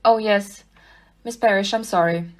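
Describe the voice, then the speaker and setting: A woman's voice: mid-range voice, unhurried, natural voice. Woman acting as a plain-spoken police inspector. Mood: relieved, apologetic. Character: brisk, frank female police inspector. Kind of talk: audio play acting.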